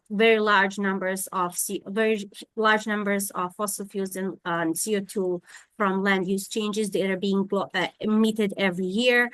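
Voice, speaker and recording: A woman's voice: medium pitch, a bit bright, no echo. Hesitant woman lecturing over a video call. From Serbia. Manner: hesitant, many fillers and restarts. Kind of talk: online scientific lecture. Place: home in Thailand during monsoon rain.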